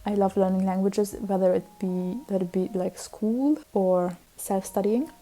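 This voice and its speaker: A young woman, a native Hungarian speaker, talks casually to her vlog audience in a rambling way, full of fillers and restarts. Her tone is very dark and mellow. Her pitch sits at an ordinary middle height. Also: steady tone, normal speed. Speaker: vlogger. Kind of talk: casual chat.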